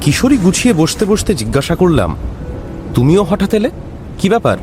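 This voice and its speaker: A man narrating a scripted audio story as a storyteller, with expressive delivery. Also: high-pitched, brisk. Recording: music under the voice.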